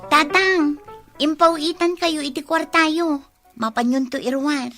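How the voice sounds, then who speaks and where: A woman's voice: high voice, fairly even intonation, unhurried, bright, forceful tone, faint music behind, full character voice. A woman playing a shy, sweet young provincial woman in an Ilocano radio drama, with an Ilocano accent. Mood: dutiful.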